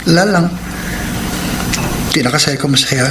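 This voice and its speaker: A man's voice: on the high side, normal speed, very noisy, close to an everyday voice. Guarded, pained Filipino man played by an actor, echoey. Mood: subdued, wistful. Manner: code-switches between Tagalog and English. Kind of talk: Filipino radio romance drama acting. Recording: echoey, distant, loud music bed.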